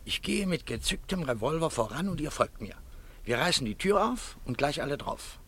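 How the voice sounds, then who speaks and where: An actor in a German radio play playing an earnest, impatient amateur detective, insistent and commanding. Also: male voice, on the high side, sing-song, normal speed, a bit bright. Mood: tense, commanding. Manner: insistent, matter-of-fact, emphatic.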